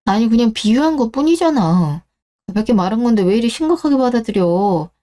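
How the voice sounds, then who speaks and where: A woman's voice: a little high, big pitch swings, fairly quick, mellow. A woman playing a touchy, evasive husband in a scripted radio drama. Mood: dismissive.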